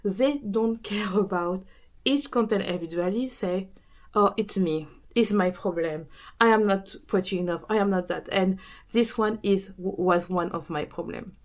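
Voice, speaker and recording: A woman with a French accent, a native French speaker, talking casually about consistency as a podcast host and coach, often pausing and restarting as she searches for words. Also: mid-range voice. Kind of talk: casual talk to camera.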